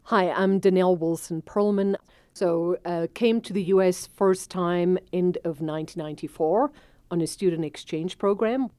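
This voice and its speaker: A woman in her forties with a South African accent and Afrikaans as her first language tells her own story, reflective and quick to laugh. Her voice sits low and mellow. The sound is close and dry. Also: clean tone, dry recording. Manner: reflective, laughs easily, uses fillers. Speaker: business owner telling her story. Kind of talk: personal storytelling interview.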